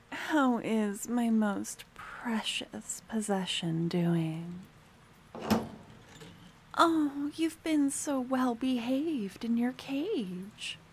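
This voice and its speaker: Woman acting obsessive fantasy merchant girl, higher-pitched, extremely unhurried, sweetly menacing, breathy, possessive, ASMR roleplay. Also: a little mellow, a little hiss or hum, exaggerated voice. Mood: doting, cooing. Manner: doting, cooing, breathy half-whispers.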